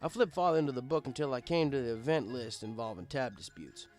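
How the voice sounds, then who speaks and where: A man narrates a story in the first person. His voice has a middle pitch for a man, and quiet music runs underneath his scripted reading. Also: quick pace.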